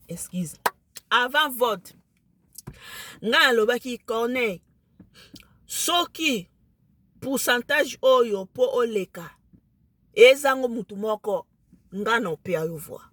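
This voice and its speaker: Excited woman with a Lingala accent. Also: fairly high pitch, slow, long pauses, no room sound. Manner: emphatic, excited, mixes French into her speech. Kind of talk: casual talk to camera.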